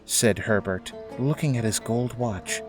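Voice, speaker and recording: A man's voice: mid-range voice, unhurried. A man narrating a scripted audio drama as its storyteller. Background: background music.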